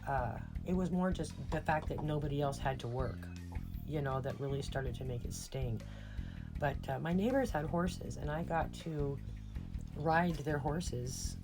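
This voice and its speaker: A woman's voice: low voice, measured pace, over music. A middle-aged American woman telling personal stories in a casual, rambling, reflective monologue. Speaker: personal storyteller.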